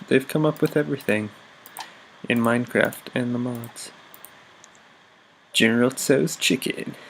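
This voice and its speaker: A man's voice: medium pitch, slight background noise. A young man with a stuffy, congested voice, giving casual upbeat gaming commentary full of ums and self-corrections. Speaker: gaming YouTuber. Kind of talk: casual Let's Play commentary.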